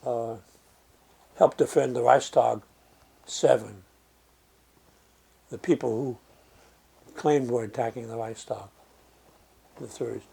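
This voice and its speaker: An older man from Germany tells his story in an interview, speaking slowly with many pauses. His voice is gravelly and a little husky, with a dark, mellow tone. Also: mid-range voice, steady tone. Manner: slow, reflective, with many pauses and fillers. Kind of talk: oral history interview.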